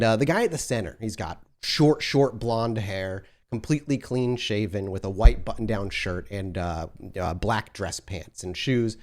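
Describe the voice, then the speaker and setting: A man's voice: lowish voice, darkish tone. A man running a tabletop role-playing game as storyteller, giving vivid sensory descriptions with frequent restarts.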